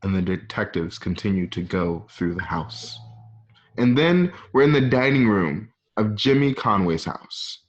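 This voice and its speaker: Male narrator for a script table read: fairly low voice, reading scene directions, slightly dull lo-fi home recording. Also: animated, a little slow.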